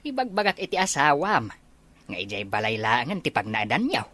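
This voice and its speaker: A woman's voice: extremely low pitch, big pitch swings, moderate pace, fairly bright tone, exaggerated voice. A woman playing a hesitant, pleading older parent-in-law in an audio drama, with an Ilocano accent.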